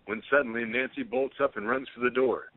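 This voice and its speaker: A man's voice: medium pitch, fairly even intonation, fairly quick. A man narrating a play, reading out stage directions as scripted narration. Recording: dull, narrow phone-like sound.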